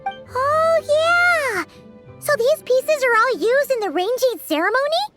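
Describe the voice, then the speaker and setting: A tiny, cheeky fairy companion from a video game, with a piercingly high childlike voice. The delivery is bright, swooping and blunt, with music playing softly behind it. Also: female voice, animated, slow, heavily acted. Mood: excited. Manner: refers to self in third person, blunt. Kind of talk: video game cutscene voice acting.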